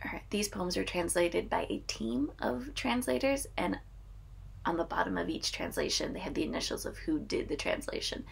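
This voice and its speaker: A woman's voice: on the low side, animated, moderate pace, creaky, husky. A woman in her thirties with a Midwestern accent, chatting to her webcam as a channel host at home and reading a poem aloud with care. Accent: American Midwestern. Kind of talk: casual vlog with a poetry reading. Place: home room with bookshelves, indoors.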